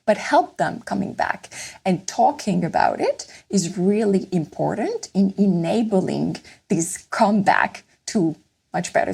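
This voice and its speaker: A woman's voice: fairly low pitch, vocal fry, darkish tone, breathy edge. A middle-aged French woman with a French accent, a neuroscientist guest speaking earnestly in a podcast interview. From France. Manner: earnest, explanatory.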